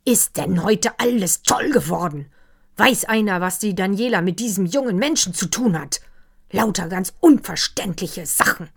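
A woman reading the part of an irritable older nobleman in a storybook, voicing him loud and gruff. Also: medium pitch, animated, moderate pace. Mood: exasperated.

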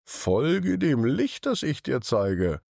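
A man voicing a soft-spoken, cheerful talking snow crystal in a bedtime story. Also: medium pitch, very animated, takes it slowly, vocal fry, dry recording, clean studio sound, full character voice. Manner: soft, friendly.

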